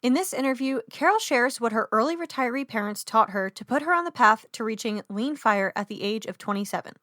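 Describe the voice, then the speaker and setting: A woman hosting a podcast, reading a prepared intro and asking measured interview questions. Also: on the high side, lively intonation, bright, forceful tone, no echo, clean studio sound. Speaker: podcast host.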